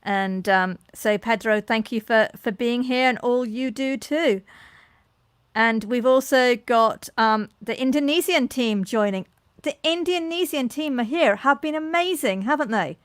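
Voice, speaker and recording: A woman's voice: a little high, a little slow, clean tone, no echo, clean studio sound. A woman hosting a live online panel, effusive, with frequent restarts. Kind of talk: enthusiastic live-stream moderating.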